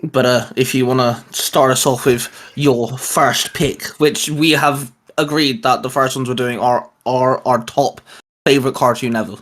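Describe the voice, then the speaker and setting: A man's voice: medium pitch, fairly even intonation, a little slow, a bit bright. A man hosting a YouTube show, chatting casually about geeky favourites. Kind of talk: casual chat about cartoons.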